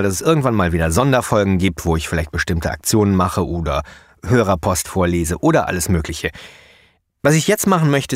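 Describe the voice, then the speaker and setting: Male poet-host, low voice, chatty explainer, dry recording. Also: no room sound. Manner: chatty, relaxed, with frequent fillers. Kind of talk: explanatory podcast monologue.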